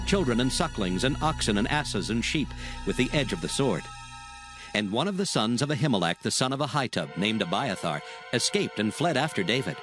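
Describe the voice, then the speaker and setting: A man narrates scripture solemnly in a mid-pitched voice. His delivery is dramatic and widely inflected, with a clear music bed behind him.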